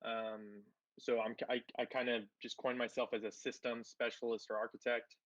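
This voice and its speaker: A man of middle pitch on a casual livestream, talking at length about himself with plenty of ums and restarts. His audio sounds muffled and dry, with no room around it. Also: level tone, normal speed, fairly bright tone, no echo. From born in Spain, grew up in Texas. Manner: rambling, many fillers and restarts. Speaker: guest, tech entrepreneur.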